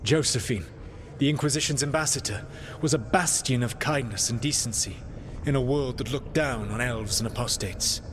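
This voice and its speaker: A man playing an ancient, proud and regretful elven rebel in a video game, speaking formally and deliberately in a measured, solemn way. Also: mid-range voice, in a large hall, background noise, natural voice. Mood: wistful. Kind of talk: video game dialogue acting.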